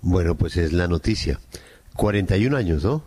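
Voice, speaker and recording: A man's voice: deep voice, animated, moderate pace, dark tone, slightly rough. A Colombian man hosting a radio talk show in a casual, dry, teasing manner.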